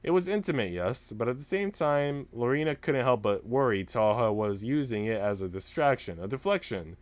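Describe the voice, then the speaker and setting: A man reading a visual novel aloud as expressive story narration for a livestream. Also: medium pitch, moderate pace.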